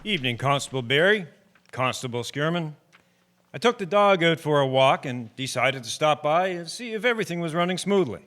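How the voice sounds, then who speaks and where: Man acting folksy retired fire chief, very lively. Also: fairly high pitch, fairly quick. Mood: genial, concerned. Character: retired deputy fire chief, older man, genial, restless. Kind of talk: radio drama acting.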